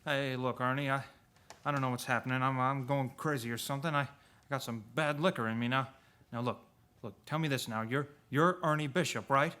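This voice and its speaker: A man's voice: medium pitch, fairly even intonation, brisk, bright, forceful tone, natural voice. A man playing a desperate, agitated family man who stammers and repeats himself in a radio play. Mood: dazed, pleading.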